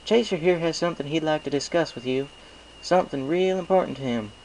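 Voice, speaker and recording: Storybook country mare, voiced by a man: caring, plainspoken, folksy, encouraging, raised pitch, faint noise behind. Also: a little fast, darkish tone, natural voice, low-quality recording. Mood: matter-of-fact. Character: plainspoken, caring female country farm pony. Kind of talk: acted audiobook character dialogue.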